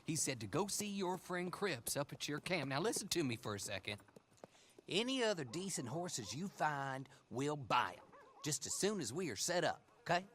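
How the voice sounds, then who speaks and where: An actor playing a brisk, folksy, wily horse dealer in a western game, talking in an expansive salesman's patter. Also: fairly high pitch, very animated, a bit bright. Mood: businesslike. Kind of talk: western video game cutscene acting. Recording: clear background noise.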